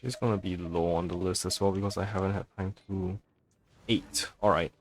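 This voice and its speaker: A man's voice: sits low, little pitch movement, fairly quick. A man giving casual live commentary as he streams a let's play. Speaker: game streamer. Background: faint game music.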